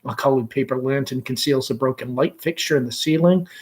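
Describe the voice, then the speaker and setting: A man reading a play aloud in deliberate, expressive scripted narration. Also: mid-range voice. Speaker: reader, principal.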